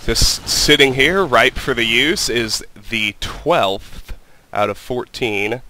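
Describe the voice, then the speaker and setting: A man hosting a let's play, calmly talking through the game and reading its text aloud, his voice a little weak from a cold. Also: medium pitch, takes it slowly. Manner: calm, methodical, reads in-game text aloud. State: has a cold, voice slightly weak. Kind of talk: casual gameplay commentary. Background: game music.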